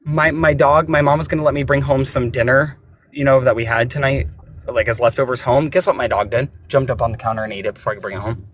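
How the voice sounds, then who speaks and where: A caller chatting casually on a call-in stream, telling quick anecdotes. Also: mid-range voice, fairly even intonation, a little hiss or hum.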